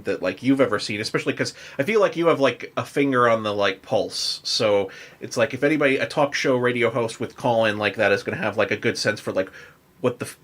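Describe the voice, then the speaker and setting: A man with a medium-pitched voice hosts a casual interview podcast. He talks in loose, rambling runs, leaning often on "like" as he works out a thought. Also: a bit bright. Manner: rambling, frequent "like". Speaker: podcast host.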